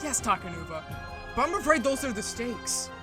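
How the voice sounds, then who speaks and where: A performer playing a practical, dutiful warrior companion in an animated fan dub. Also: mid-range voice, moderate pace, over music, lightly acted. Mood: earnest, planning. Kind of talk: fan dub character acting.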